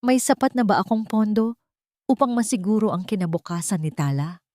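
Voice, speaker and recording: A woman's voice: medium pitch, animated, brisk, a little mellow, dry recording, clean studio sound, pitched above the performer's own voice, natural voice. A woman narrator voicing a wronged mother who is resolute and coldly proud, in a solemn, dramatic story delivery. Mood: determined.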